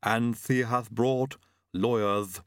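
A deferential, shuffling manservant, acted by a male reader. His delivery is slow and formal with a muttering manner, fit for a storybook. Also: medium pitch, steady tone, smooth, no echo, clean studio sound. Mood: deferential, guarded. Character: Igor, deferential, shuffling male butler servant.

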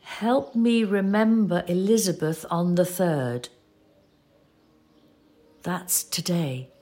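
A low-voiced woman as a patient companion in an audio drama. Her voice is slightly husky, and she explains things gently, with a measured sorrow. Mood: gently prompting. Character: patient, sorrowful female companion of a forgetful old man. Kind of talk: audio play acting.

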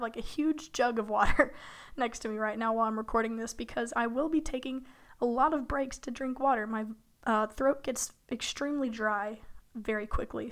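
A young woman in her college years hosts a solo podcast, her slightly high voice still a little hoarse from illness. She rambles in a relaxed, chatty way, full of fillers and small laughs. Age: young adult. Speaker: podcast host. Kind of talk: casual solo podcast talk.

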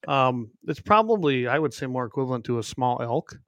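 Gravelly-voiced man on a glitchy call. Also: medium pitch, lively intonation, fairly quick, a bit bright, no echo. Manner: rambling with many restarts and fillers. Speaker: podcast co-host. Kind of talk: casual remote podcast chat.

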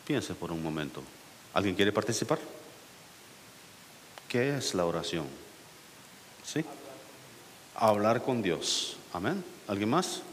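A man preaching a sermon, putting questions to the congregation and climbing to emphatic peaks. His voice sits fairly low. It rings through a long church reverb over a faint hiss. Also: big pitch swings, slow pace, pauses often. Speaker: pastor. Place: church sanctuary.

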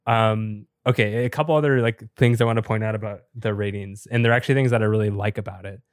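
A slightly raspy, low-voiced man who is a bit sick and losing his voice. He explains at length in a chatty, filler-heavy podcast style. His delivery stays flat, with very little rise and fall. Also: fast, no echo, studio quality. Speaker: podcast co-host and top competitive player.

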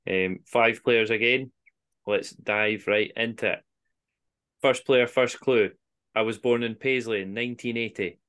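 A man's voice: medium pitch, fairly even intonation, measured pace, fairly bright tone, clear, resonant tone. A man with a Scottish accent hosting an informal quiz, reading out clues and scores. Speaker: quiz host on a football podcast.

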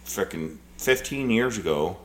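A man's voice: lowish voice, animated, measured pace, poor audio. A man in his forties, co-hosting a podcast in casual chat, rambling and blunt with frequent swearing. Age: 40s. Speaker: podcast co-host.

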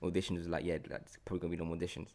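A man's voice: sits low, monotone, very fast, a little mellow. A man with a London accent hosting a casual podcast chat, talking informally with slang and repeating himself for emphasis. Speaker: podcast host.

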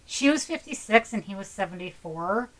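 A woman, co-hosting a podcast, leading the talk in a friendly, steady way and retelling a local story. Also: medium pitch, moderate pace, poor audio. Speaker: podcast co-host. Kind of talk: casual chat and story retelling.

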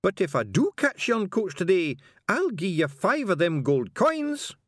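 A man's voice: high voice, huge pitch swings, unhurried, dry recording, studio quality, voice pushed up, full character voice. A man voicing an impatient, threatening Scottish passenger with a growl and broad dialect in an audiobook. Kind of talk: audiobook character voice.

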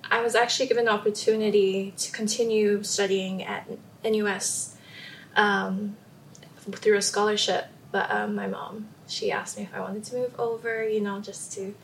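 Guest: young woman, gravelly, soft-spoken, light hum behind. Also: mid-range voice, level tone, normal speed, low-quality recording. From Samoa. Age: young adult. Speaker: podcast guest. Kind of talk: casual interview talk.

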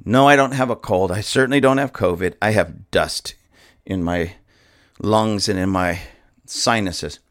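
A man, a pastor, giving impassioned podcast commentary with an indignant, emphatic, repetitive manner and a hoarse, dusty throat. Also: lowish voice, a little slow.

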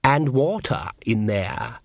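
A man reading an audiobook, voicing a cold and cruel male elf who speaks slowly and mockingly. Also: medium pitch, expressive, creaky, dry recording, clean studio sound, heavily acted. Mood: menacing. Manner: slow, drawn-out, sneering delivery. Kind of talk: audiobook character voice.

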